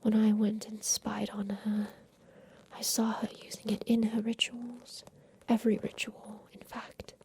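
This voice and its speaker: A woman playing a doting, eccentric mansion inventor in a soft, deliberate ASMR roleplay with formal, old-fashioned phrasing. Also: a little high, level tone, a little hiss or hum. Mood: conspiratorial, brooding. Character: doting eccentric mansion inventor, plotting against her captor.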